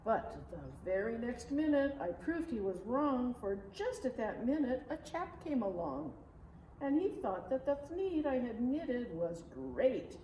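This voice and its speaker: A woman reading a rhyming children's story aloud in an expressive, measured, dramatic way. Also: fairly high pitch. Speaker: storybook reader. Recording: roomy, distant mic, faint noise.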